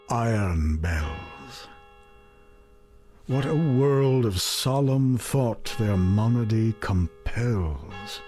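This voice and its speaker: A man's voice: low-pitched, a little mellow. A man giving an intense, theatrical poetry recitation, drawing out repeated words. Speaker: poetry reader. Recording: music playing behind the voice. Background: music.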